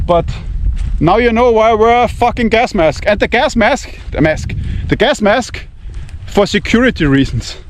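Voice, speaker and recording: A man's voice: high voice, a little hiss or hum. A man with an Austrian German accent, a non-native English speaker, talks bluntly and profanely in broken English while walking through fields and vineyards. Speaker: knife-testing channel host. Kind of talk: casual walking vlog. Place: outdoors among vineyards and fields.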